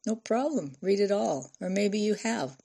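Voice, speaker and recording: A woman's voice: medium pitch, expressive, unhurried, gravelly, mellow, pitched above the performer's own voice. A woman voicing an anxious, affectionate mother of a teenager in an audiobook, earnest and coaxing. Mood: gentle, coaxing.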